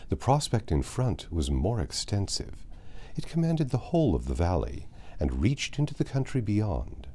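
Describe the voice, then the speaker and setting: A man reads literary prose as an audiobook narrator. His low voice is soft-edged and mellow, and his pitch rises and dips widely through each measured sentence. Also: huge pitch swings.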